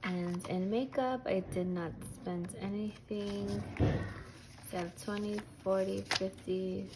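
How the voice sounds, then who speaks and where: Hoarse, gravelly woman counting cash aloud. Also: medium pitch. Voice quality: raspy. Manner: counts bills aloud in steady rhythm. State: hoarse from losing her voice. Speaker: budgeting vlogger. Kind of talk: casual chat while counting cash. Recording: noisy home recording.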